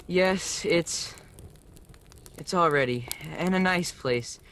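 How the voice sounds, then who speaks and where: A man plays a gentle, grieving father in a radio play. He speaks kindly and haltingly, keeping a brave face, over faint noise on a home call recording. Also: high voice. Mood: subdued, kindly.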